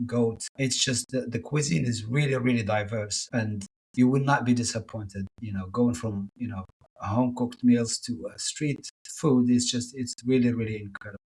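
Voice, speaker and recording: Brief: husky mid-pitched man from Morocco, eager interview guest, halting restarts. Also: low-quality recording. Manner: warm, enthusiastic, many restarts and fillers. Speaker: podcast guest. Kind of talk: casual interview answers.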